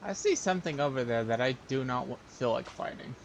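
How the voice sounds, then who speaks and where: A man's voice: medium pitch, expressive, fairly quick, creaky, slight background noise. A man streaming a video game, thinking aloud in casual, offhand commentary. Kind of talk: casual live gameplay commentary.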